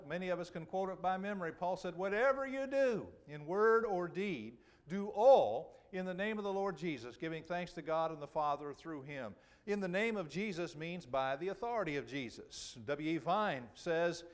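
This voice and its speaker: A middle-aged man preaching an expository sermon in a church, slowing down to stress key phrases. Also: sits high. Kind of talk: sermon, expository Bible teaching. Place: church auditorium, speaking from the pulpit to a congregation.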